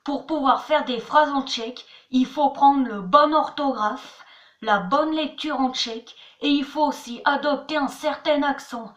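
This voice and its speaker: A woman teaches a homemade lesson from her notebook in a high, bright voice. She takes her time, spelling each word out letter by letter and pausing on "voilà". Her voice rings around a bare, echoey room. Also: muffled recording. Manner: chatty, repeats "voilà" and "attention", spells words letter by letter. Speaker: channel host teaching words.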